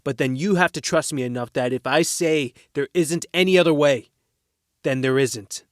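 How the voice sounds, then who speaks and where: A man's voice: on the high side, normal speed, lightly acted. A man playing a loyal, defensive working-class teenage boy in an audio drama, speaking earnestly and firmly.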